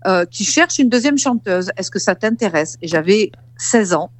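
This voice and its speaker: A woman's voice: medium pitch, animated, normal speed. A woman in her fifties from the south of France, a singer being interviewed, hesitant and repeating her words. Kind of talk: casual interview.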